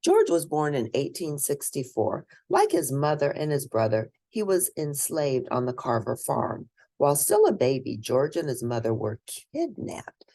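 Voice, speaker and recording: A woman reading a children's picture book aloud as host, in expressive, deliberate storytelling. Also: low voice.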